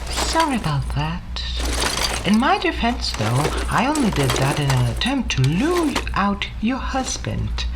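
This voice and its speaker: A man's voice: sits high, wildly swooping pitch, unhurried, very noisy, heavily acted. An actor playing an arrogant, ruthless male renegade time-traveller in an audio drama, with a theatrical, mocking manner.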